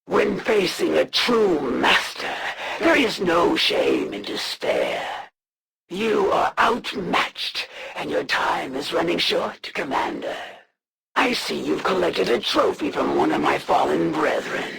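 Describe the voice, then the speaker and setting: A proud alien assassin delivers formal threats in a heavily gravelly voice, slow and deliberate. Each phrase swings widely in pitch with theatrical, scornful menace, like a classic video game villain. Clear noise sits behind the voice. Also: female voice, big pitch swings, full character voice. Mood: cold menace, then haughty scorn. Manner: formal, haughty, measured and threatening.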